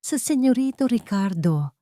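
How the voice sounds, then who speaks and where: A woman's voice: fairly high pitch, big pitch swings, moderate pace, warm tone, no echo, voice pushed up. A frightened young housemaid in an audio drama story, put on by a woman narrator. She speaks in a trembling, apologetic way, deferring to her employer. The sound is dry.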